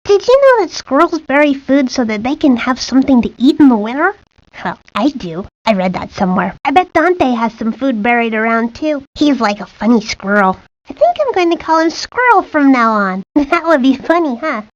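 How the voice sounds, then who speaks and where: A woman's voice: high-pitched, very animated, normal speed, dry recording. A woman voicing a chatty, outdoorsy young girl in a cartoon video game. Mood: chatty, earnest. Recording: muffled lo-fi game audio.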